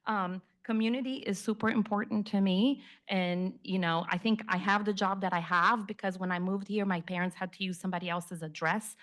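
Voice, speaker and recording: A woman's voice: mid-range voice, fairly even intonation, brisk, few pauses, a bit bright. A woman in her fifties from Brazil, a native Portuguese speaker, giving an informal, conversational talk about herself to an audience. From Rio de Janeiro, Brazil. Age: 50s. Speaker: guest speaker, community relations executive.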